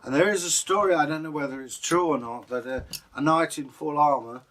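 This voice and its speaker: A man's voice: a little high, a little fast, a bit bright, a little hiss or hum. A British man co-hosting as a storyteller, telling folktales and chatting in a casual, musing way. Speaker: co-host and storyteller.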